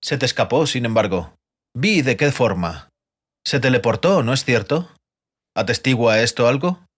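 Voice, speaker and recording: A man's voice: medium pitch, lively intonation, moderate pace, a bit bright, husky. A man playing an inquisitive, theatrical bard. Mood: curious, probing. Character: curious male bard poet, uneasy but witty. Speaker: audiobook actor. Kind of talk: dramatized fantasy audiobook dialogue.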